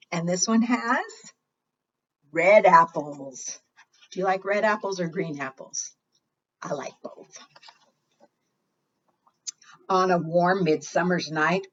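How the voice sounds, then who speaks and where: Slow, very expressive woman reading to preschoolers. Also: lowish voice. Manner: expressive, asks the listeners questions. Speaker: children's librarian leading preschool storytime. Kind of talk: read-aloud for young children. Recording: dull lo-fi sound.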